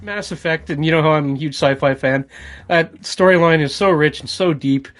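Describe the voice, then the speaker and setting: A man's voice: fairly high pitch, moderate pace, fairly bright tone, soft background music. A man co-hosting a casual chat about video games. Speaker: podcast co-host.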